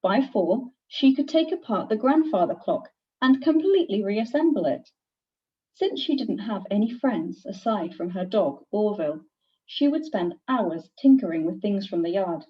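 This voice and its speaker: A woman reading a children's picture book aloud in an expressive, deliberate teacher's storytelling manner. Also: mid-range voice. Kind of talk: scripted picture-book narration. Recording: distant, slightly dull microphone.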